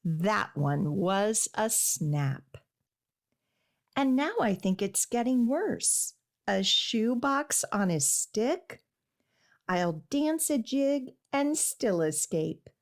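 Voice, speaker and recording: A woman's voice: medium pitch, lively intonation, very slow. A woman voicing a boastful, mischievous leprechaun in a rhyming storybook, with a teasing delivery. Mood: boastful, mocking.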